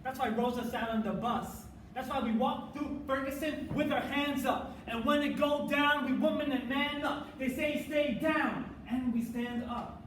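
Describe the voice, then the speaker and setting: A man performing rehearsed, dramatic spoken word in a measured, theatrical manner. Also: extremely high pitch, steady tone, fairly bright tone. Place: live competition performance in a room. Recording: echoey room, distant mic, faint noise.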